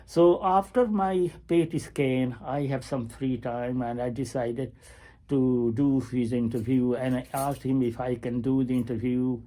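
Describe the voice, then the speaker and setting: An eighty-year-old man records a video diary while waiting at a hospital. He speaks in a slow, halting way, full of pauses and fillers. His voice has a mellow, unhurried tone. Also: mid-range voice, fairly even intonation. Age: 80s. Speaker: retired family physician.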